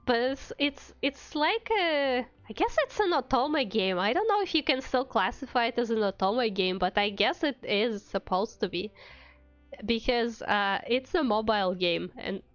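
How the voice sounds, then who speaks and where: A woman game streamer with a smooth, fairly high voice, thinking out loud in casual, chatty asides. The sound is close and dry, slightly dulled. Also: animated, moderate pace, no echo, highs cut off, soft background music. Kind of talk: casual livestream chat over a game.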